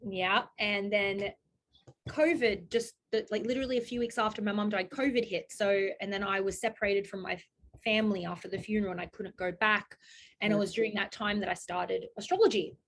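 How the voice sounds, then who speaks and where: A woman's voice: mid-range voice, fairly even intonation, a little fast, a bit bright. A woman, a guest astrologer talking casually in an interview, laughing often and restarting her sentences. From Australia.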